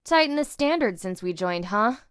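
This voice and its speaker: A woman voicing an aloof, sardonic squad member in a visual novel, deadpan and unimpressed. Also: medium pitch, moderate pace, no room sound, clean studio sound, close to an everyday voice.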